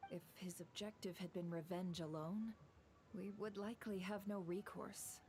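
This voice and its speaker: A woman's voice: on the low side, steady tone, moderate pace, darkish tone, slight background noise, close to an everyday voice. An actress playing a composed female officer who speaks in a level, matter-of-fact way. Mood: calm.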